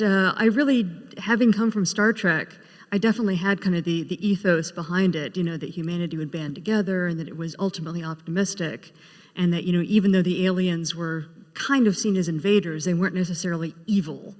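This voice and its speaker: A woman's voice: fairly low pitch, fairly even intonation, brisk. A woman speaking as a writer guest on a panel, answering in a casual, explanatory way. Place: large indoor convention panel room. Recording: echoey hall with long reverb.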